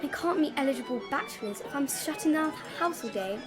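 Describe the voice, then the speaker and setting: A woman's voice: sits high, lively intonation, fairly quick, music in the background. A woman playing a pert, sulky fifteen-year-old Victorian younger sister in a theatrical period drama. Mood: sulky, pleading.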